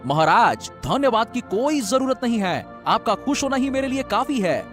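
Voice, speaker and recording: A man voicing a greedy, lying elder brother with a sly, flattering manner in a moral-story cartoon. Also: extremely high pitch, moderate pace, faint music behind, full character voice. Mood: eager, fawning. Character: scheming young man, greedy elder brother who lies. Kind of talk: animated Hindi moral-story dialogue.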